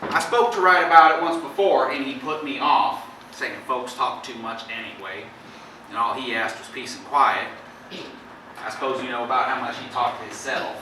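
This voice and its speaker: A man acting a plain-spoken farmer in an amateur stage play, telling his story in a folksy, halting way and dramatizing the quotes. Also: mid-range voice, sharp tone, natural voice. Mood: earnest, rambling. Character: plain-spoken neighboring farmer recounting a shocking visit. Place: theatre stage, indoors. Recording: echoey stage from far off, faint noise.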